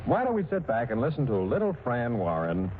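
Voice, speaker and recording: A man's voice: mid-range voice, huge pitch swings, brisk. An American man with a dry, wry manner, narrating a 1940s radio comedy as host. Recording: noisy, tinny 1940s radio recording.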